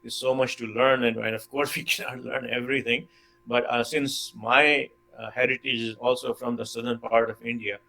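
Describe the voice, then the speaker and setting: A man from Pakistan speaking as a panelist in a measured way with frequent hesitations. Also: mid-range voice, flat delivery, vocal fry, pushed and bright, rough recording. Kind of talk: panel discussion.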